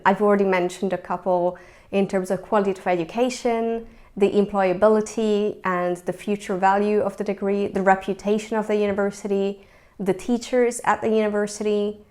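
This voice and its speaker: A woman in her twenties, from Hungary, talking through her studies in a relaxed, thoughtful way, with many ums and restarts. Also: medium pitch, moderate pace. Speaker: former university student. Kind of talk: casual spoken account to camera.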